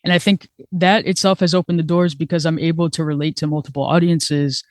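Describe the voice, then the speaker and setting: Colombian-born new york producer guy, kinda high voice, dry close sound, relaxed. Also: a little fast, no room sound. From Colombia, raised in New York. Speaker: music producer panelist. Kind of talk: casual panel answer.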